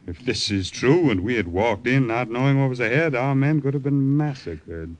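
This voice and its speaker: A man playing a grave older army colonel, measured and formal in an old-time radio drama. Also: mid-range voice, expressive, natural voice. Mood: grave, concerned.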